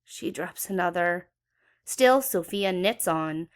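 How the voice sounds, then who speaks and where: Animated woman reading a children's storybook. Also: on the low side, slow pace, smooth. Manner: animated, dramatic emphasis for young children. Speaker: children's book read-aloud educator. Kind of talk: expressive storybook read-aloud.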